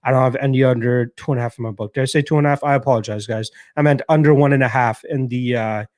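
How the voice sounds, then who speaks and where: A young man hosts a casual live stream and answers viewers' comments. He talks loosely and swears freely, with plenty of fillers and false starts. His voice sits at an ordinary middle pitch, and the sound is dry and close. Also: steady tone, fast, a little mellow, no echo. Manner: loose and profane, lots of fillers and restarts. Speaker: podcast host reading live chat.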